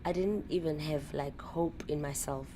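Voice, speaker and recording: A woman's voice: low voice, fairly even intonation, moderate pace, mellow. Young woman, interviewee, candid and reflective, roomy echo, light noise. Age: young adult. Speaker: program participant. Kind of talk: documentary interview.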